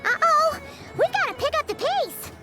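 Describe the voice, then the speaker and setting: A woman voicing a small, excitable, cute and easily indignant girl companion in a video game, with a squeaky, dramatic delivery. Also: normal speed, full character voice. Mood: excited. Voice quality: squeaky, childlike. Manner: exclamatory, dramatic. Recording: game music behind the voice.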